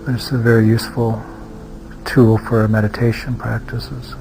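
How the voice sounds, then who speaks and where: A man leading a guided meditation as a teacher, speaking in an unhurried way with long pauses and hesitant restarts. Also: mid-range voice, flat delivery, vocal fry, darkish tone, low-quality recording. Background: music.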